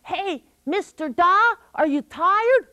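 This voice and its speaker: A woman's voice: very high-pitched, lively intonation, slow, sharp tone, dry recording, voice pushed up, full character voice. A woman voicing a sly female trickster who coaxes and wheedles. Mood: coaxing. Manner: wheedling, coaxing.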